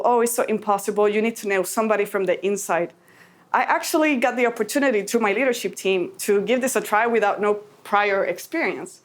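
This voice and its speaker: A woman from Puerto Rico giving an earnest, encouraging talk to a conference audience. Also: mid-range voice. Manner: earnest, encouraging, a little emotional. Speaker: conference speaker.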